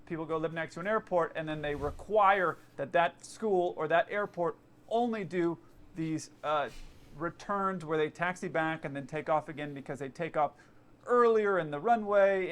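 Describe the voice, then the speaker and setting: A man with a noticeably high-pitched voice gives explanatory commentary. He talks casually and offers his opinions plainly, like someone who knows the subject firsthand. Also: normal speed, fairly bright tone. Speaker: pilot giving commentary. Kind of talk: explanatory commentary on air traffic radio.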